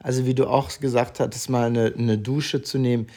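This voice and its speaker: A man's voice: mid-range voice, little pitch movement, fairly quick, warm tone. A man with a cold co-hosting a podcast in casual chat, playful with mock-announcer asides. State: has a cold. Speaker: podcast co-host.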